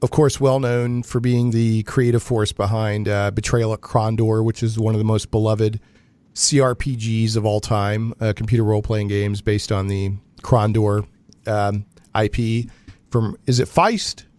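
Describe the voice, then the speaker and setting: A man's voice: lowish voice, little pitch movement, measured pace, a little mellow, clean tone, dry recording. A man hosting a radio show, chatting casually in a jokey, rambling way with frequent digressions. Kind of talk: casual, rambling interview chat.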